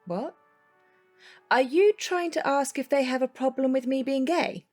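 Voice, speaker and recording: A woman's voice: high voice, a little slow, a little mellow, faint music behind. A woman playing a candid, direct woman with a dry, teasing manner in an audio drama. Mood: frank. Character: candid, dryly teasing woman, unafraid to challenge.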